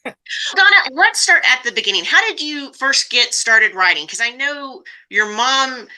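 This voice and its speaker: A woman's voice: high voice, animated, normal speed, pushed and bright, low-quality recording. A woman hosting a book club podcast, interviewing in an upbeat, conversational way.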